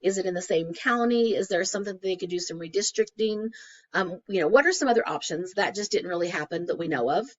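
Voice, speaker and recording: A woman with a crackly, hoarse voice from a cold, presenting a class case study conversationally with frequent fillers. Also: lowish voice, expressive, fast. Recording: dull lo-fi recording.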